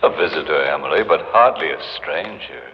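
An actor playing Time as a mystical, courtly visitor, speaking in a measured, formal, quietly sinister way in an old radio drama. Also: male voice, very deep voice, animated. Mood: calm, knowing. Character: mystical personified Time, a calm, ominous, courtly male visitor. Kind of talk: mid-century radio drama acting. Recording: dull old radio recording, long reverb, hiss.

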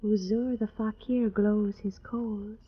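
A woman playing a gentle, devoted young woman in an old-time radio drama, speaking in stylized archaic phrases. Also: medium pitch, steady tone, takes it slowly, breathy edge. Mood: earnest, trusting. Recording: dull old radio recording.

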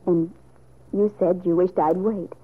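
A woman's voice: lowish voice, fairly even intonation, measured pace. A woman playing an earnest, hesitant young woman confiding in family, acted in old-time radio soap style. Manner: gentle, hesitant. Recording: thin, phone-like old radio recording.

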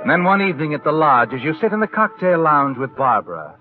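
A man's voice: on the high side, very animated, fast talker, narrow, tinny audio. Male narrator, dramatic and insinuating, 1940s radio style; swooping delivery, thin band-limited sound. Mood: ominous. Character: insinuating male narrator, needling the schemer as his conscience. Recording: band-limited vintage radio recording over music. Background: music.

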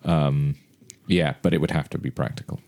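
Man, low pitch, podcast panelist, casual discussion, conversational opinions. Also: fairly even intonation, moderate pace, vocal fry, a little mellow. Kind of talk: casual discussion of films and games.

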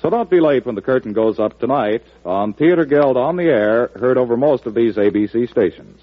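An American man works as a network radio announcer, reading promotional copy in 1940s style. His mid-pitched delivery is polished and measured. The recording is tinny and narrow, like an old broadcast. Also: animated.

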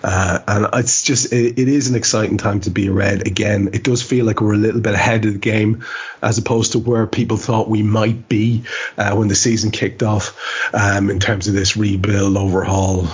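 Low, husky Irish man chatting. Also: little pitch movement, normal speed. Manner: chatty, rambling, wry. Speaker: podcast host. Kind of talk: casual podcast chat. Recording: dull, muffled lo-fi audio.

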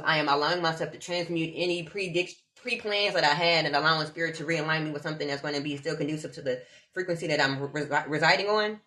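A woman from Omaha, a laughter yoga teacher, chatting casually in an animated, slangy way. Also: low-pitched. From Omaha, Nebraska. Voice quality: animated, slangy, spiritual talk with bursts of laughter. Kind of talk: casual chat.

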